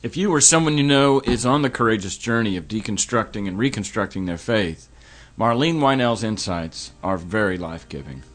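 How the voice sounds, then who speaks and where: A middle-aged man hosting a podcast, talking in an earnest, reflective way that turns halting when he speaks of personal matters. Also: on the low side, animated, fairly bright tone. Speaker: podcast host. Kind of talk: podcast intro and interview.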